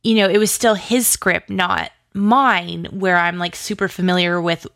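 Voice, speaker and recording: A woman's voice: fairly low pitch, steady tone, measured pace, creaky, a bit bright. A woman being interviewed about creative work, speaking informally and effusively in tumbling asides. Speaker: interview guest, audio drama writer and producer. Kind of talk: casual interview chat.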